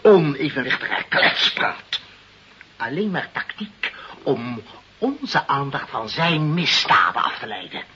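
An actor playing a blustering, self-important director in a radio play, speaking pompously and haltingly and repeating himself. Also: male voice, on the high side, sing-song, bright, forceful tone, full character voice. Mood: stern, pompous. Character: blustering, self-important male director seeking face-saving deals. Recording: muffled old radio recording, light noise.

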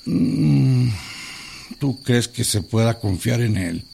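An actor with a Mexican accent playing a polite, hesitant, weary revolutionary organizer in a radio drama. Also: male voice, mid-range voice, lively intonation, slow, gravelly, warm tone, a little hiss or hum, full character voice. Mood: wary. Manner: hesitant, deferential. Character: polite, weary revolutionary organizer, uneasy but courteous man. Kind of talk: Spanish-language radio drama dialogue.

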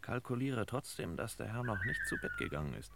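An actor playing a shrewd, dry detective boss with clipped, swaggering deadpan delivery in an old crime radio play. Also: male voice, mid-range voice, fairly quick, gravelly. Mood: hushed, wary. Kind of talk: old German radio crime parody acting. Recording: noisy vintage radio play recording.

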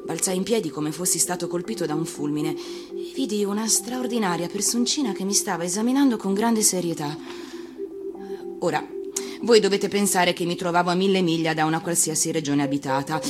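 A woman actor reading a storybook for radio, expressive and theatrical, with deliberate phrasing. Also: medium pitch. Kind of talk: expressive scripted storybook narration.

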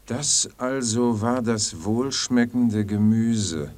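A man's voice: fairly low pitch, slow pace, darkish tone. An actor playing an uneasy, searching expedition man with an anxious, questioning manner, in a German radio play. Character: uneasy, questioning male explorer, wistful and searching.

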